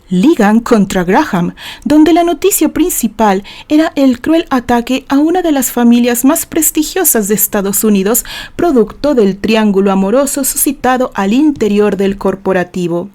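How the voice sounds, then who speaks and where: Woman, Mexican accent, high-pitched, dark mellow tone, dramatic audiobook narration. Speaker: narrator reading a serialized novel aloud.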